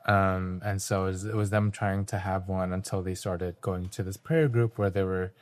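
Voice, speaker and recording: A young man from Canada, a guest artist, talking in a relaxed, reflective way with frequent ums. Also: low voice, normal speed. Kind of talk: casual interview talk.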